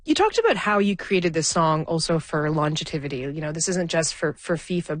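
Woman doing an interview, lowish voice, really dry close sound with no room on it. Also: dry recording. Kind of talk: broadcast interview questioning.

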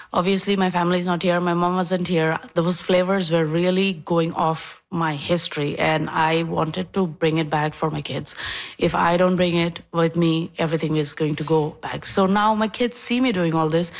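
A woman from Bangladesh in her thirties, interviewed at home about her dessert business, telling stories with animated quoted dialogue. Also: lowish voice. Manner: animated storytelling, acts out quoted people. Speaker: dessert business owner, guest. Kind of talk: casual interview.

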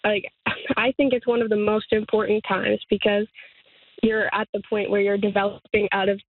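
A woman of twenty talking earnestly in a radio interview, halting and restarting, with light laughs. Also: mid-range voice, flat delivery, no room sound. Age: 20s. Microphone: phone. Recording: tinny phone line, dry.

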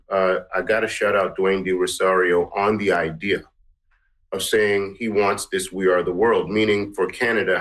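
A middle-aged musician from Guyana answering interview questions in an earnest, rambling way. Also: male voice, low-pitched, a bit bright. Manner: earnest, rambling, many restarts. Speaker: musician and composer.

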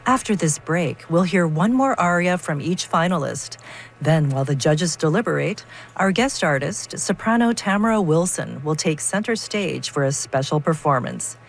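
A woman hosting a radio broadcast, reading scripted announcements in a polished, measured way. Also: low voice, lively intonation, creaky.

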